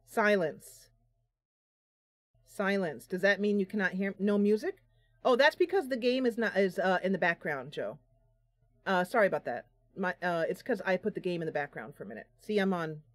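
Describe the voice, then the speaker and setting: A woman doing casual live game commentary as a streamer, chatty and a bit goofy, thinking out loud as she goes. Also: fairly low pitch, expressive, moderate pace, dry recording. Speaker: streamer and host of a gaming channel.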